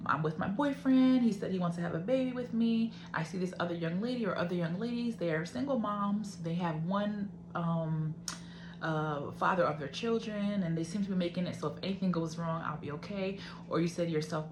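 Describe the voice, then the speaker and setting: A woman giving an informal motivational advice talk, speaking earnestly and instructively straight to the listener. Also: on the low side. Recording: roomy echo, clear hum and noise.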